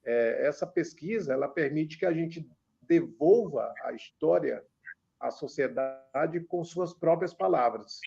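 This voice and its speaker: A Brazilian man in his fifties, a guest on a radio interview, explaining at a measured pace with many filler pauses. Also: fairly high pitch, expressive, creaky, mellow, husky, soft background music. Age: 50s. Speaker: author and religious researcher guest. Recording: dull lo-fi radio sound.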